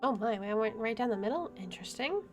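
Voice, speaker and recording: A woman's voice: on the high side, animated, fast, over music. A woman hosting a gaming video, reading story text aloud and adding casual commentary.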